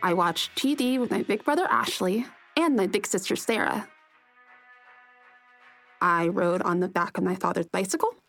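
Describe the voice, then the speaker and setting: Casting: reflective storyteller remembering being a little kid, short clipped phrases, plain wistful tone, soft music underneath. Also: female voice, medium pitch, moderate pace, creaky. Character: grown woman recalling her childhood, plain and wistful. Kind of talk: audiobook narration in short clipped sentences.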